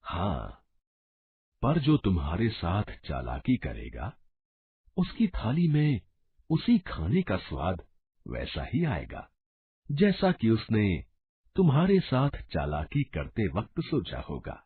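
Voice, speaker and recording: A man voicing a kindly, wise old holy man in a cartoon, speaking in a measured, instructive way. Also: lowish voice, huge pitch swings, breathy edge, no echo, heavily acted. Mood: kindly, solemn. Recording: dull lo-fi audio.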